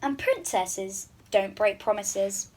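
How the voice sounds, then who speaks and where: A woman's voice: mid-range voice, takes it slowly, darkish tone, a little hiss or hum, lightly acted. A woman voicing a stern, commanding king and father in a children's storybook. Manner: firm, commanding.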